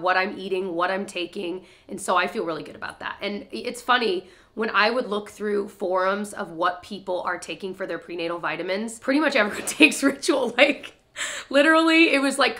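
A woman's voice: medium pitch, bright, forceful tone. A young woman vlogger chatting casually to camera, upbeat and laughing often, her voice nasal from a stuffy nose. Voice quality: nasal from congestion. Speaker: lifestyle vlogger.

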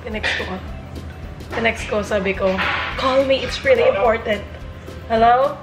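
A woman's voice: fairly high pitch, moderate pace, over music. A young woman vlogging at home, chatting in English and Tagalog while filming her toddler and cooking, laughing often. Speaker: family vlogger. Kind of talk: casual home vlog. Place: apartment kitchen and living room.

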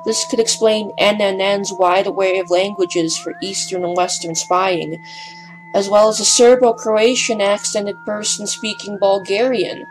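A woman reads scripted documentary narration at a medium pitch. Her delivery is measured but a touch hesitant, with a slight breathlessness in places. Faint music runs underneath her voice. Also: steady tone, rough recording.

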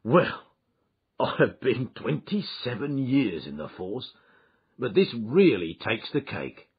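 Man narrating, putting on a big hearty old-school inspector, loud and bluff, voice swings around, slightly muffled recording. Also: mid-range voice, very animated, slow, husky, heavily acted. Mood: jovial. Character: bluff, hearty middle-aged male police inspector.

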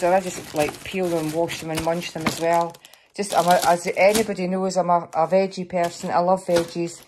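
A middle-aged Scottish woman chatting casually over a grocery haul in her home kitchen, rambling a little, slightly hoarse. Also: fairly low pitch, noisy background. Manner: chatty, rambling, with fillers and small laughs. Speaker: vlogger showing a grocery haul. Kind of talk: casual chat to camera. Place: kitchen counter at home, indoors.

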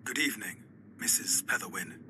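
A man playing an awkward, wounded gentleman suitor, formal and hesitant, in a noisy audio drama recording. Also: normal speed, natural voice. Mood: awkward, hopeful. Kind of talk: radio play acting. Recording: loud background noise.

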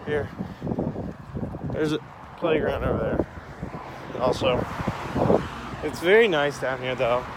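A young man casually rambling to viewers as he walks outside with a handheld phone, narrating what he sees and often repeating himself. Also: medium pitch. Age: young adult. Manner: repetitive, unhurried narration of surroundings. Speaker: amateur vlogger. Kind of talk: casual rambling walk-along vlog. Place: outdoors, walking along a street and pier trail. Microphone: handheld phone at arm's length. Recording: noisy outdoor phone recording with traffic.

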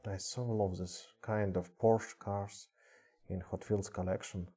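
A man with a Russian accent casually chatting on a live stream in halting English, with many fillers. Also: deep voice, fairly even intonation, mellow, breathy edge, soft background music. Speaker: streamer showing toy car collection. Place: indoor room with cluttered table. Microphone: webcam mic.

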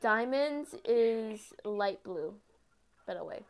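A woman chatting casually while playing a game, excitable and given to exclaiming. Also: on the high side, takes it slowly. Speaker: gamer. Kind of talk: casual gameplay chat.

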